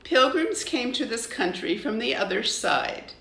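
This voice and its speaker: Woman reading as an earnest, bright-voiced young schoolgirl. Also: on the high side, expressive, unhurried, creaky, echoey room, pitched above the performer's own voice, heavily acted. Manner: earnest, simple explaining.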